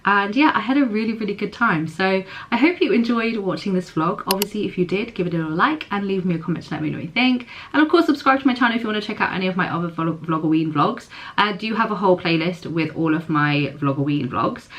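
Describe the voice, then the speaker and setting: A young woman with a husky, hoarse voice, chatting casually to camera in a vlog and using lots of fillers. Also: lowish voice, a bit bright. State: hoarse, recovering voice. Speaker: vlogger.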